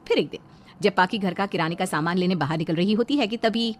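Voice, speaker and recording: A woman reading scripted narration for an animated family story. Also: medium pitch, fast talker, slight background noise.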